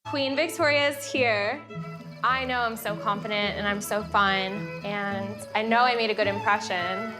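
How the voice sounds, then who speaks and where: A woman's voice: medium pitch, fairly even intonation, measured pace. A young woman, a reality dating show contestant, talking about herself in a gushing, self-assured way. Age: young adult. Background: music.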